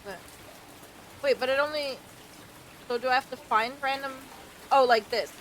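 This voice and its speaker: A woman game streamer doing a casual, expressive let's play, reacting and reading on-screen text aloud. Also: sits high, normal speed, a little hiss or hum, low-quality recording. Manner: expressive, reactive, mild exclamations. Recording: loud rain and game ambience behind. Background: loud rain and game ambience.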